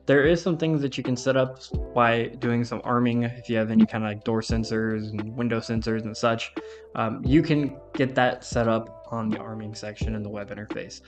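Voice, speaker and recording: A man's voice: mid-range voice, steady tone, moderate pace. Man giving casual tech walkthrough over music. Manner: informal, conversational instruction. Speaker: tutorial presenter. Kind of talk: casual step-by-step software walkthrough.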